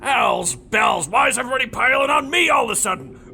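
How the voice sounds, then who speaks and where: A man playing a grouchy, defensive veteran handyman and guard in an audio drama, loud, blustering and mocking. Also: extremely high pitch, animated, brisk, vocal fry, slight background noise, full character voice. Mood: defensive, irritated.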